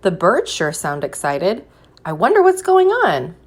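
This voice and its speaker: A woman reading a picture book aloud like a teacher, pausing to put questions to young listeners and encourage them. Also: on the low side, huge pitch swings. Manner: slow, deliberate, encouraging prompts.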